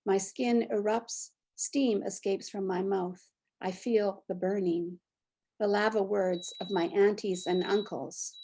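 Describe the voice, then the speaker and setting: A woman in her sixties from Canada, a poet giving a short spoken introduction and then reading her poem aloud in a reflective, measured way. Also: medium pitch, warm tone, breathy edge, rough recording. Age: 60s. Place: near the Fraser River, British Columbia.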